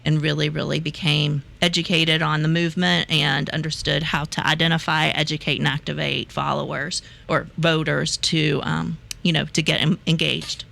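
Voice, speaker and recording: A woman's voice: low-pitched, little pitch movement, moderate pace, gravelly, hardly any pauses, pushed and bright. A woman in her fifties from West Virginia, chatting as a talk show guest, laughing easily and talking with many fillers and restarts. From West Virginia, USA. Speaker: guest, reproductive rights activist. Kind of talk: casual interview talk.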